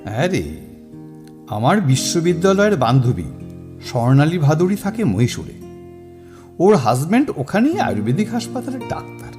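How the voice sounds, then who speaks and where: Guy doing a dark mellow bengali storyteller, slow and dramatic, music under it. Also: on the high side, very animated. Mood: measured. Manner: slow, dramatic, swooping delivery. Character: worldly older man, storyteller, mysterious. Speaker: older male mentor figure called Miska. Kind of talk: Bengali radio-drama acting.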